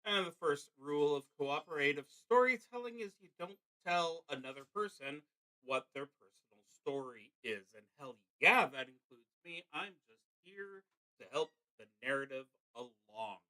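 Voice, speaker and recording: A man hosting a live stream in a casual, theatrical way, with emphatic delivery that swings between loud and soft. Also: a little high, lively intonation, moderate pace, low-quality recording. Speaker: live-stream host, solo roleplaying gamer.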